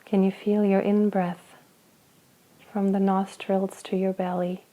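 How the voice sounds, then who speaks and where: A woman guiding a body scan meditation, speaking slowly and gently with long pauses between phrases. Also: mid-range voice, little pitch movement, rough recording. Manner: slow, soft, gentle guidance with long pauses. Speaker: meditation guide.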